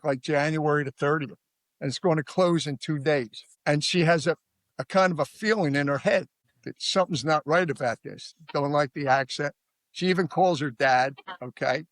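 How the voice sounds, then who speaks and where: A man with a Philadelphia accent who talks through a case in a rambling, emphatic style. His voice sits a bit high for a man. It is recorded very dry, with no room sound. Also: fairly bright tone, no room sound. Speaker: podcast guest. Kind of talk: casual true-crime discussion.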